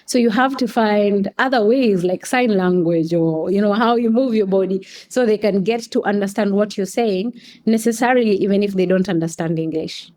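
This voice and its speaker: A woman's voice: mid-range voice, moderate pace, darkish tone. A woman from Kenya with a Kenyan accent, explaining things articulately in a conversational interview as a guest. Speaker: podcast guest, coffee company staff member.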